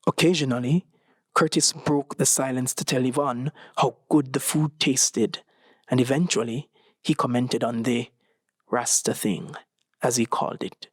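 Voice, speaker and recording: Story reader: young adult male, medium pitch, scripted literary narration. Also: fairly even intonation, unhurried, breathy edge, no room sound, studio quality.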